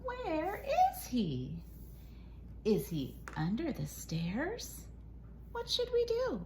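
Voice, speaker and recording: A woman's voice: extremely high pitch, wildly swooping pitch, drawn-out words. A woman reading a picture book aloud to young children as an educator, in an exaggerated, sing-song storytime manner. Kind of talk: animated children's read-aloud, modeling core words. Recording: loud noise behind the voice.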